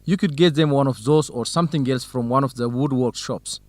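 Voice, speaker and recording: A man playing a warm, helpful young student researcher in an educational radio drama. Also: mid-range voice, fairly quick, no echo, lightly acted. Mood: helpful, friendly.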